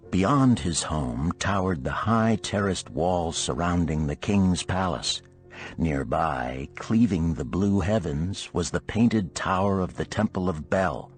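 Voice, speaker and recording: A man reading a storybook narration in a measured, formal storytelling manner. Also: sits low. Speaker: audiobook narrator.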